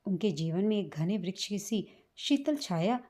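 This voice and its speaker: A woman reading a scripted, sentimental family story as a storyteller, in a measured manner. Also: medium pitch, expressive, mellow. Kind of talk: scripted emotional story narration in Hindi.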